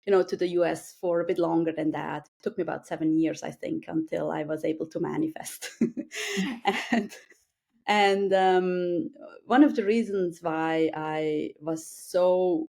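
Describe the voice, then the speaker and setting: A woman's voice: low-pitched, steady tone, measured pace, creaky, a little mellow. A woman in her forties from Switzerland, a guest in an interview, talking casually with many hesitations and restarts. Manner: hesitant, many restarts and fillers, laughs easily.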